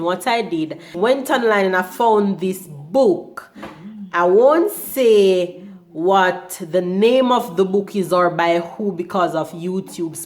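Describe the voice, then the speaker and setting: A young woman, hoarse from a cold, chatting casually in a home vlog about her diet, with many ums. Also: lowish voice. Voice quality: slightly rusty voice. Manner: chatty, unhurried, frequent ums. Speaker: vlogger.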